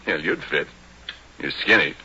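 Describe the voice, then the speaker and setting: A folksy oil rig hand in an old radio play. His voice is very low and husky, with an easygoing, rustic turn of phrase. It comes through a dull 1940s recording. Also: expressive, measured pace, sharp tone, heavily acted. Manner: colloquial, rustic working-man grammar. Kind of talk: 1940s radio drama acting.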